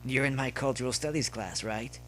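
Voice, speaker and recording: A man's voice: medium pitch, brisk, pushed and bright, a little hiss or hum, close to an everyday voice. A man voicing a friendly, upbeat young male student pony in a story reading, casual and inquisitive. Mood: friendly, curious. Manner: casual, inquisitive, slightly hesitant.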